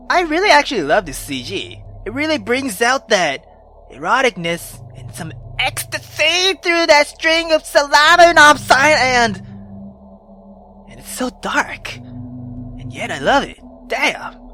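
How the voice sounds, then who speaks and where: A man's voice: extremely high pitch, pushed and bright, slight background noise. A man doing casual let's play commentary as he reads a visual novel, exclamatory and incredulous. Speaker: gaming video host reading a visual novel. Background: music.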